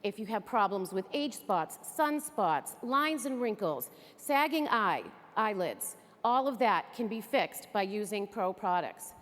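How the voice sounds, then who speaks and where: A woman's voice: medium pitch, expressive, a little slow, few pauses, a bit bright. A woman from the area, giving an upbeat, chatty training talk to an audience. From Greater Boston area. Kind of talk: enthusiastic stage presentation. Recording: echoey hall.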